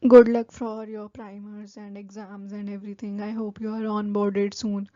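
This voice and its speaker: A woman's voice: medium pitch, level tone, normal speed, dark tone, no echo, lo-fi sound. A young woman with an Indian English accent, whose first language is Hindi, giving casual career advice to her viewers with many "like" fillers. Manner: chatty, many "like" fillers, informal. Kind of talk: casual advice talk to camera.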